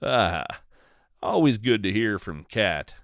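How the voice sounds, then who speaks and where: A man's voice: lowish voice, big pitch swings, slow, gravelly, noisy background, full character voice. A man playing a whimsical, earnest naturalist host in a scripted fiction podcast, with a quirky, wondering manner. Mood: warm wonder. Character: earnest, whimsical male naturalist radio host of strange creatures.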